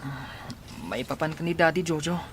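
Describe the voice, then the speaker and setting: A secretive young man pressing an urgent message, played by a man. His voice runs high for a man. Faint ambience sits behind him. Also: moderate pace, a bit bright, slight background noise, lightly acted.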